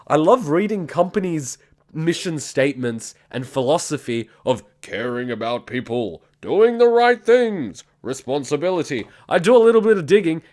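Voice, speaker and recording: A man's voice: fairly high pitch, a little mellow. A man, bluntly ranting and swearing as host of a reaction video. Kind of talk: casual reaction commentary.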